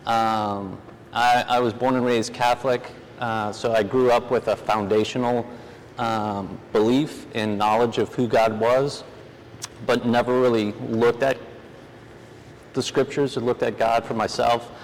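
Man, American, middle-aged, informal church teaching, halting conversational delivery, mid-pitched voice, long hall reverb, faint noise. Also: flat delivery. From New Orleans, United States. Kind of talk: informal sermon with scripture reading. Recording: reverberant hall, noisy room ambience.

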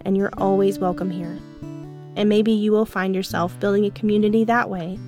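Gentle, earnest woman podcast host. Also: medium pitch. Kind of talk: reflective scripted podcast monologue.

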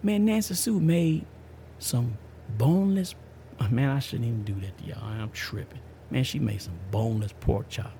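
Man, fifties, podcast host, gravelly voice, middle pitch, emphatic street slang, casual testimony. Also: moderate pace, dark tone, a little hiss or hum. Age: 50s. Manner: emphatic, slangy, repeats himself.

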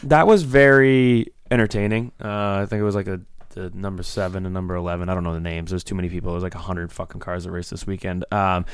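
A young man co-hosting a podcast with a slightly raspy voice, telling a long party story in a rambling, animated way with lots of fillers. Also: sits low, fast talker, few pauses. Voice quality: a little raspy. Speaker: podcast co-host. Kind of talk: casual storytelling chat.

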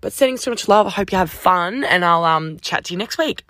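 A woman hosting a casual solo podcast, chatty and upbeat, swearing casually, her voice croaky from talking all day. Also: fairly low pitch, animated, fairly quick, fairly bright tone, no echo, clean studio sound. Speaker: podcast host.

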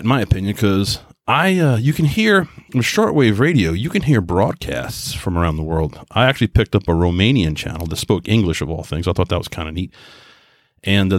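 Casual podcast host, American man with a low voice, folksy and enthusiastic rambler, dry recording. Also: very animated, brisk, no room sound. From New York, US. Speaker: podcast host and radio hobbyist. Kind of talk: casual solo podcast monologue.

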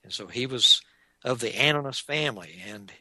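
A man with a Texan drawl teaches church history in an unhurried lecture, pausing often on "uh" as he explains. His voice is bright and forward, recorded close and dry with no room sound. Mid-pitched and steady for a man. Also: no room sound. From Texas. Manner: measured and explanatory, with frequent "uh" pauses. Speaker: Bible teacher.

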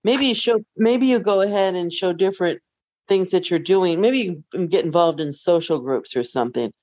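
A woman's voice: fairly low pitch, moderate pace, darkish tone, no room sound. A middle-aged woman co-hosting a podcast in casual conversation, blunt and wry, given to anecdotes and opinions. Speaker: podcast co-host.

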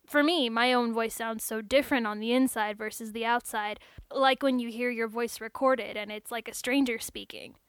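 A woman's voice: fairly high pitch, fairly even intonation, moderate pace, few pauses, clean tone, dry recording, lightly acted. A woman voicing a chatty, geeky young male robot technician who talks in an eager, rambling, slightly awkward way in audiobook dialogue. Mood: musing.

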